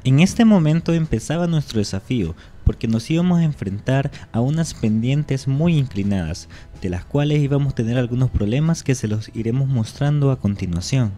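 A man narrates a travel vlog in a calm, measured voice-over. His tone is very dark and mellow, and faint music sits under his words. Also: mid-range voice. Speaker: channel narrator.

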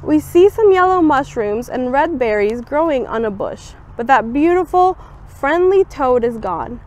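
A young woman with a fairly high voice reads a children's picture book aloud. Her storytelling is expressive, and she brightens the voice for the quoted lines. She was recorded outdoors on a campus bench by a camera a short way off. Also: measured pace, a little hiss or hum. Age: young adult. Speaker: student volunteer reader.

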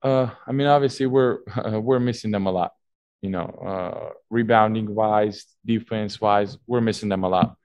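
A young man, a basketball player, answering postgame press questions haltingly, full of fillers and restarts, at a table mic in a team media room. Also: lowish voice, a little mellow, dry recording, muffled recording. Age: young adult. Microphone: table mic on stand.

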